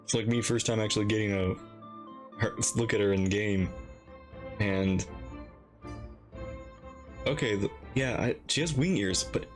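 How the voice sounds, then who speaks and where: A woman reacting casually to a game as a streamer, playful and halting as she thinks aloud. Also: rumbling low, faint music behind.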